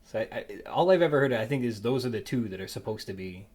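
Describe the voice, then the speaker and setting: A man hosting a podcast in casual conversation, thinking aloud in a halting, musing way and often rephrasing mid-thought. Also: medium pitch, animated, darkish tone. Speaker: podcast host. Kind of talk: casual interview chat.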